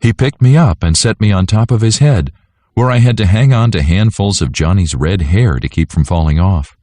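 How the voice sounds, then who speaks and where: A man narrating a children's story in a scripted, animated read-aloud style. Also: sits low, normal speed, no room sound.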